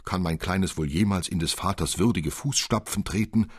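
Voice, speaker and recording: Man as a deep-voiced, lamenting city councillor. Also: normal speed, breathy edge, no echo, clean studio sound. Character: lamenting city councillor, distraught new father.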